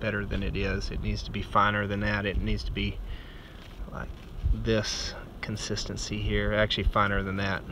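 A man's voice: fairly low pitch, completely flat delivery, normal speed, noisy background. A man in his forties with a Texan accent, casually explaining survival skills as he works outdoors on a beach. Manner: explains his steps plainly while handling things. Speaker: survival vlogger. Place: open sandy beach and dunes outdoors.

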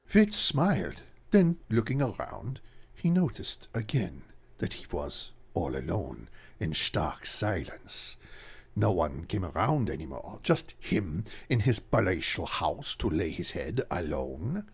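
Male narrator, gravelly, low, ominous, measured. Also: sing-song. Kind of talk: scripted dramatic narration.

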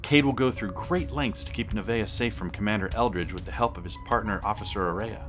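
A man's voice: on the low side, brisk, over music, lightly acted. A man playing a grandfather who narrates an adventure story in an audio drama. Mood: reassuring.

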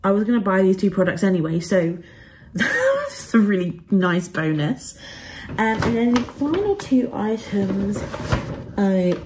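Chatty woman, mellow voice. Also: mid-range voice, very animated, measured pace, a little hiss or hum. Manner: chatty, upbeat, lots of fillers. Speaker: travel and beauty vlogger. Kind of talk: casual haul chat to camera.

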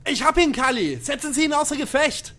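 A man's voice: very high-pitched, animated, fairly quick, very noisy. A man playing an eager young detective, earnest and formally articulate, in a parody audio drama. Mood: urgent.

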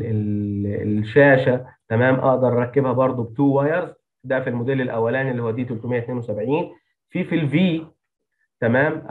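A man's voice: medium pitch, narrow, tinny audio. A man with an Egyptian Arabic accent lecturing through technical slides as an instructor, dropping English technical terms into his speech. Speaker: engineering instructor.

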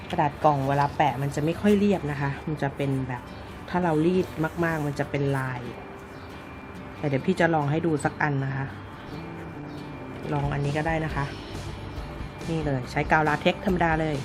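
The low-pitched woman you'd hear on a hobby craft channel, walking you through each step while music plays along. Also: moderate pace, pauses often. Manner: informal big-sister address to viewers. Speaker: craft tutorial host. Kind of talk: casual step-by-step tutorial narration. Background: background music.

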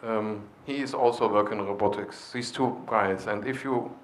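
A man's voice: fairly low pitch, fairly even intonation, moderate pace, a little hiss or hum. A man leading a conference discussion, encouraging attendees and explaining with hesitant fillers, speaking into a handheld microphone in a large lecture hall. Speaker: session leader.

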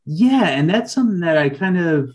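A man's voice: fairly high pitch, expressive, normal speed. A middle-aged man speaking as an interview guest on a video call, explaining things reflectively in long, wandering sentences.

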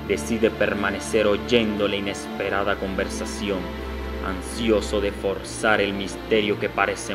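A man narrating a scripted radio drama in a solemn, dramatic manner. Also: on the low side. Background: guitar music.

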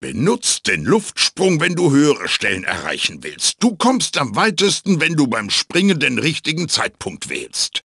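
A man voicing a wise, fussy magical tiki mask mentor in a video game, with a raspy, theatrical delivery. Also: fairly high pitch, wildly swooping pitch, unhurried, hardly any pauses, fairly bright tone, dry recording, clean studio sound, exaggerated voice. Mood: instructive, emphatic. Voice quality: raspy, gravelly. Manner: theatrical, emphatic, swooping delivery. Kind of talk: German video game voice acting, tutorial hints and quips.

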